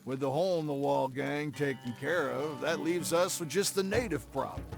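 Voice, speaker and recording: An actor playing a sly, boastful old western sheriff in a video game, gravelly, folksy and mocking. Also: male voice, on the high side, normal speed, music in the background. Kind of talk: scripted game cutscene acting.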